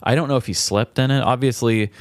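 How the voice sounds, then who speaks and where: A man's voice: mid-range voice, fairly even intonation, fast, dry recording, clean studio sound. A man co-hosting a podcast, talking in a casual, comedic, conversational way. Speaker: podcast co-host.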